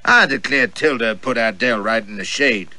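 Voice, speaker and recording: A man voicing a flirtatious male rake who gushes flattery. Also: medium pitch, normal speed. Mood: flattering. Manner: flattering, gushing.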